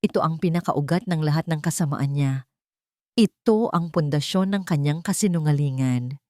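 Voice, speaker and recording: Female narrator doing solemn grieving young woman in an audiobook, polite, holding it in, lowish voice, dry sound. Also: normal speed, warm tone, no echo, lightly acted. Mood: resolute grief. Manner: polite, solemn, with restrained emotion. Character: grieving young woman seeking justice for her father.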